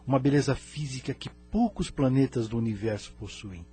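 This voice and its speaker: A man with a Brazilian accent playing a grave, wise spirit elder from another planet in an audio drama, solemn and measured. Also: medium pitch, warm tone, breathy edge. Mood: grave, concerned. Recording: music bed behind the voice.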